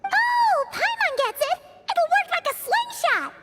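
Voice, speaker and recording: An extremely high, childlike fairy companion from a video game. The delivery is bubbly and exclamatory, leaping around in pitch. It sits in long reverb with music playing underneath. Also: female voice, big pitch swings, a little slow, heavily acted. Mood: excited, chirpy. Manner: chirpy, exclamatory, refers to self in third person. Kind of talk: animated video game voice acting.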